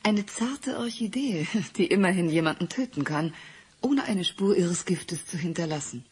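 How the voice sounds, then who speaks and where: Woman playing a low-voiced, teasing temptress. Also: animated, moderate pace, a little mellow, a little hiss or hum, close to an everyday voice. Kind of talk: German radio play acting.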